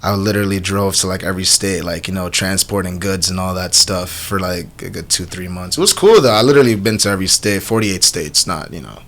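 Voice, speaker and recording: A man's voice: deep voice, a little fast, few pauses. A man in his twenties from Jamaica, answering casually in a radio interview as a rapper, telling stories with lots of slang. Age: 20s. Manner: slangy, animated storytelling with frequent "you know what I'm saying". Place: small radio studio. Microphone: studio microphone.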